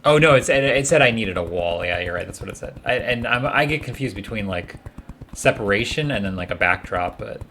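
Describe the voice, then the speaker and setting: A young man chatting casually with a friend while they play a game, laid-back and quick to laugh. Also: lowish voice, animated, a bit bright, faint music behind. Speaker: friend co-playing on stream. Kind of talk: casual gaming chat.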